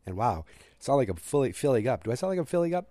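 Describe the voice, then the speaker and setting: A man's voice: mid-range voice, sing-song, rapid-fire, warm tone, no room sound. A man with a cold, recapping a TV episode on his show in a rambling, self-correcting way. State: has a cold. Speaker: podcast host recapping a TV episode. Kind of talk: casual solo recap.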